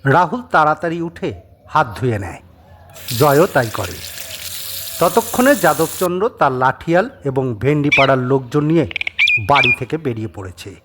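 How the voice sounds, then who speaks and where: A man's voice: fairly high pitch, expressive, moderate pace. A man narrating a scripted suspense story as a dramatic audio storyteller. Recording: clearly noisy background.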